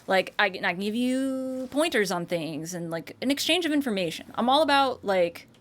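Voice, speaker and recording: A woman's voice: medium pitch, expressive, normal speed, a bit bright. An American woman, a streamer and voice actor, chatting casually and rambling, with frequent restarts, swearing and laughter. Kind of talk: casual live-stream chat.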